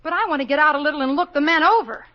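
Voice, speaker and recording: A woman in a 1940s radio musical playing a spirited, restless young heroine who complains wryly and quickly about her dull life. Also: sits high, natural voice. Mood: complaining. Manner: quick wry complaints, sings in the show. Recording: lo-fi 1940s radio broadcast with orchestra.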